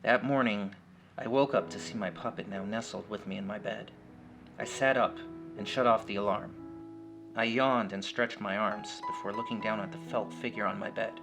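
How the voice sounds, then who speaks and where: A man narrating a first-person horror story in a conversational, somewhat halting way. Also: fairly low pitch, steady tone, creaky. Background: loud plucked guitar music.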